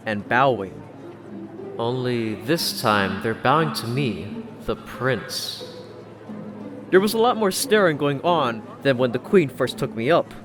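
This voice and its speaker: A man reading a scripted first-person story as an audiobook narrator. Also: mid-range voice. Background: background music.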